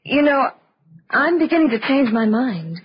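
An actress playing an anxious, perceptive young wife in a 1950s radio drama, with earnest, fretful delivery. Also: female voice, sits high, sing-song, unhurried, slightly rough, exaggerated voice. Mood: uneasy, wondering. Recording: dull, noisy old radio recording.

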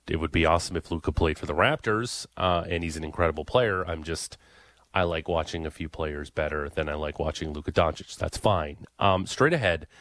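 Man, low voice, dry close mic, sports radio host, blunt and repetitive. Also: fairly bright tone, no echo. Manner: blunt opinions, repeats his points for emphasis.

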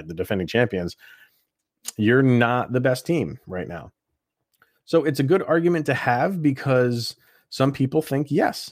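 A man with a fairly low-pitched voice talks sports as a podcast host. He is conversational and opinionated, reasoning out loud in a casual way. The sound is dry, with no room around the voice. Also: no echo. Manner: opinionated, conversational, thinking aloud.

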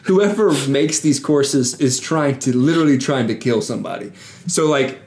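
A man's voice: a little high, moderate pace, a little mellow, a little hiss or hum. A man co-hosting a casual podcast, eagerly explaining bike racing to his friends. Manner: enthusiastic, explains cycling. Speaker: podcast co-host. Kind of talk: casual chat.

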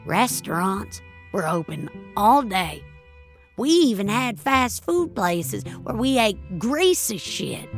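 A woman's voice: medium pitch, sing-song, slow, faint music behind. A woman playing an angry, nostalgic elderly woman in an audio drama, speaking emphatically and wistfully with plenty of swearing. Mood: wistful, impassioned. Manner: emphatic, wistful, foul-mouthed. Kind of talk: dramatic audio fiction monologue.

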